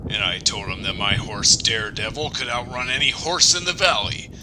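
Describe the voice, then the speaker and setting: A man playing a boastful, fearless village horseman in an audio drama, loud and emphatic. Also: on the low side, fairly even intonation, moderate pace, background noise, lightly acted.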